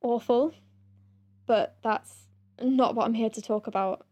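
An awkward woman in a science-fiction audio drama. She speaks very slowly in a higher-than-average voice, formal and hesitant, with an apologetic air. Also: steady tone, a little mellow. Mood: stiff, apologetic. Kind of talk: sci-fi audio drama acting.

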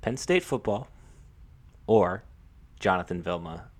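A man co-hosting a casual sports podcast, talking in a halting way with repeated words and lots of "like". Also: low-pitched, expressive. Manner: halting, repeats himself, peppers sentences with "like".

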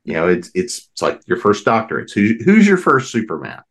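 A man's voice: on the low side, huge pitch swings, fairly quick, a bit bright. A middle-aged man hosting an interview, asking questions in a conversational, thoughtful way. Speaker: podcast host.

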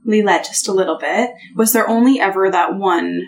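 A woman's voice: mid-range voice, normal speed, rough recording. A Canadian woman hosting a podcast, asking brief prompting questions in casual interview talk. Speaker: podcast host, interior designer.

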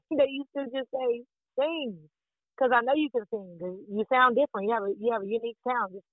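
A woman from Fort Worth, Texas, with a deep, raspy voice, talking excitedly as a singer being interviewed, rambling and repeating words. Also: brisk, no echo. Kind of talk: casual interview chat. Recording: dry, tinny phone-like line.

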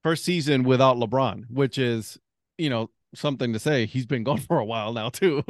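A man from Puerto Rico hosting a podcast, chatty and quick to laugh, often restarting his sentences. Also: mid-range voice, no echo, clean studio sound. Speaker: podcast host. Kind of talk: casual podcast interview.

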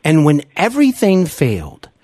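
A man's voice: a little high, huge pitch swings, moderate pace, mellow, no room sound, clean studio sound. A man hosting a podcast interview, asking earnest, effusive questions in a hesitant, roundabout way. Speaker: podcast host interviewing a guest.

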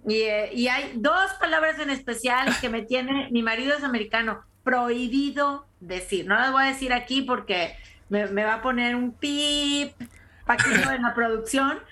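A Mexican woman co-hosting a podcast, telling lively anecdotes and laughing in casual chat. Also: on the high side, moderate pace. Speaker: podcast co-host.

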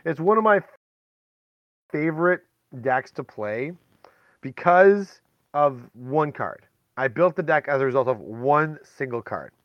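A man's voice: a little high, animated, a little slow, long pauses, no room sound. Male podcast host, dull dry sound. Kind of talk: casual storytelling chat.

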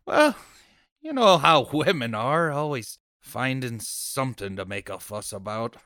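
A man's voice: mid-range voice, sing-song, a little slow, pushed and bright, no room sound, studio quality. A man playing a nosy, chatty, stubborn off-duty policeman neighbor in a table read of an old radio horror play, with folksy, blustery speech. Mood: confiding, sheepish.

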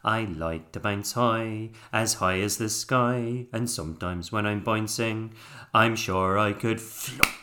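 A storybook narrator voicing a springy, cheerful male toy in a drawn-out, singsong manner. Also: mid-range voice, bright, forceful tone. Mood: cheerful singing. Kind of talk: children's storybook character voice.

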